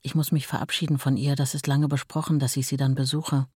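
Plainspoken sorrowful ex-wife, very dry sound. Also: female voice, rumbling low, little pitch movement, fast talker, a little mellow, breathy edge, no echo. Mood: matter-of-fact recollection. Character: left-behind older ex-wife recalling her marriage, sorrowful, plainspoken. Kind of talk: documentary-style radio play testimony.

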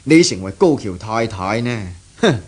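An indulgent middle-aged father in a 1970s Cantonese radio play. His voice is fairly low and husky, with a Hong Kong accent. He is wry, patient and gently teasing. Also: sing-song, measured pace, heavily acted. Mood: sceptical, wry.

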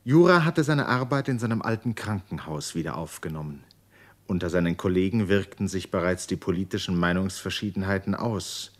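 A man with a deep voice narrates a literary radio play. He reads the scripted prose in a composed, measured manner. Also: lively intonation.

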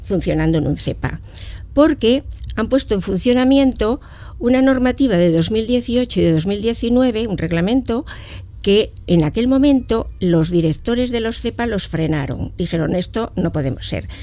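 A woman's voice: on the high side, expressive, moderate pace, dark tone. A woman speaking for a community association in a radio studio interview, explaining earnestly with frequent hesitations. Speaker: association representative.

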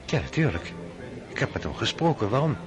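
An actor playing a brooding, hesitant young aristocratic lieutenant in a radio play. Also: male voice, mid-range voice, very animated, brisk, music in the background, lightly acted. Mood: reluctant. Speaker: suitor. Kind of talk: radio drama acting.

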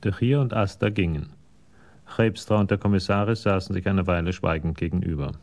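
A man's voice: sits low, a little fast, darkish tone. A man giving sober scripted narration as the narrator of a German radio crime story.

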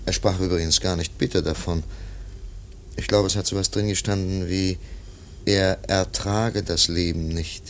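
Deep, solemn, pensive friend. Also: male voice, fairly even intonation, moderate pace. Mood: reflective. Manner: solemn, reflective. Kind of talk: German radio play acting.

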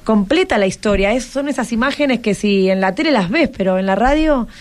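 An Argentine woman hosts radio in a fairly high voice with a Rioplatense accent. She talks casually and playfully, checking in often with short tag questions. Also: lively intonation, a little fast. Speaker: radio host. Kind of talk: casual interview with schoolchildren.

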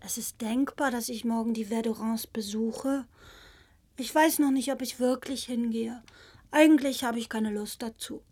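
Actress playing young female lover, fairly high voice, evasive, casual, hesitant, radio play. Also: fairly even intonation, moderate pace, a little mellow, natural voice. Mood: feigned indifference. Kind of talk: radio drama acting.

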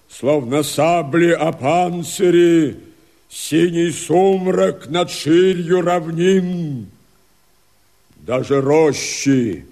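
An actor playing a fervent, urgent rebel Cossack, declaiming verse in an impassioned, drawn-out theatrical manner for a radio drama. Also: male voice, fairly high pitch, gravelly, mellow, exaggerated voice.

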